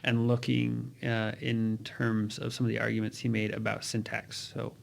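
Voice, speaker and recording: A man's voice: fairly low pitch, monotone, moderate pace, creaky, slight background noise. A man speaking as an expert guest, explaining linguistics conversationally with examples and questions, in a didactic way.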